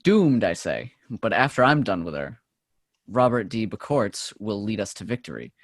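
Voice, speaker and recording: A man's voice: on the low side, big pitch swings, moderate pace, no echo, studio quality. A man playing a scornful, scheming medieval French soldier in a play reading, mocking and theatrical. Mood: scheming bravado. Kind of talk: stage play table read.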